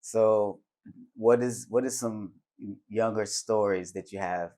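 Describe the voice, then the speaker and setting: Low-voiced man hosting a casual talk show. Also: fairly even intonation, slow pace, warm tone. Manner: slangy, repeats phrases for emphasis. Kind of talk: casual interview with hype-style show intros.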